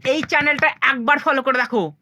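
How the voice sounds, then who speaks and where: A woman's voice: high voice, brisk, bright, forceful tone, slight background noise. A woman co-hosting a casual video for students, speaking emphatically and earnestly, often repeating phrases. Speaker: channel co-host.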